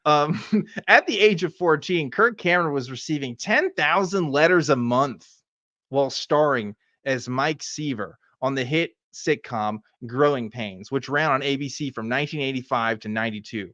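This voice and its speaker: A man's voice: medium pitch, normal speed, sharp tone, no room sound. A middle-aged American man hosting a casual show, reading aloud and riffing with sardonic, profane asides. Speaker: podcast host.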